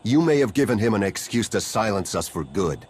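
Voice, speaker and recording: An actor playing a principled, fearful civilian in a video game, husky, earnest and solemn. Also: male voice, fairly low pitch, sing-song, a little fast, darkish tone. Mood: solemn, resigned. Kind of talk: scripted video game drama acting.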